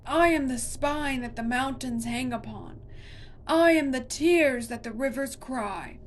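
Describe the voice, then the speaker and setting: A woman's voice: unhurried, dark tone, a little hiss or hum, natural voice. A woman reading aloud, voicing a towering ancient tree monster that roars and declaims. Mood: roaring. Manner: booming, declaiming. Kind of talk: storybook reading.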